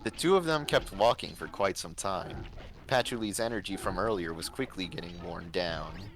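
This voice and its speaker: A man's voice: deep voice, moderate pace, fairly bright tone, low-quality recording. A man reading a fan story aloud as narrator on a livestream.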